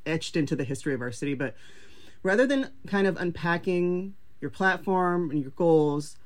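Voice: mid-range voice, animated, normal speed. An interview host with a mid-range voice leads the conversation in a casual, upbeat way. The delivery is slangy and loose, full of "like" and "um" as questions take shape. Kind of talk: podcast interview.

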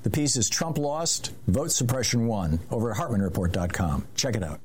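A man's voice: medium pitch, normal speed, a little hiss or hum. Man hosting a call-in radio show. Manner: relaxed, conversational, gently steering callers. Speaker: radio talk show host.

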